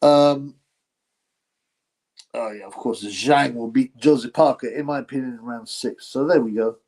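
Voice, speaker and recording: A man's voice: medium pitch, unhurried, a little mellow. A man hosting a casual live stream, rambling, reading chat and laughing often between filler words. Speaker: boxing channel host reading chat.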